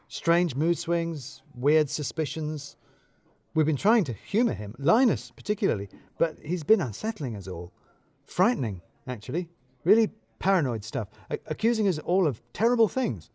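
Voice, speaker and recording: A man's voice: medium pitch, a little mellow, no echo. A man narrating a scripted first-person audio drama in a wry, conversational, confiding way.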